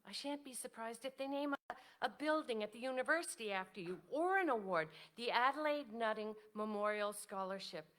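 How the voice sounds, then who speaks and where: An actress playing a modest, wistful, devoted widow in a staged reading of a period drama, with genteel, articulate phrasing. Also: female voice, a little high, expressive, fairly quick, a bit bright. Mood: admiring. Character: elderly devoted widow writer, modest, wistful, self-doubting.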